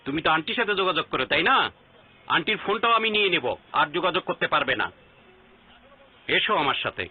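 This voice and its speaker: A stern middle-aged father, quick to command and scold. His voice is raspy and swings widely in pitch, in TV-drama style. The recording is thin and phone-like. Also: expressive. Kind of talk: Bengali TV drama acting. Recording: thin phone-like sound, faint music.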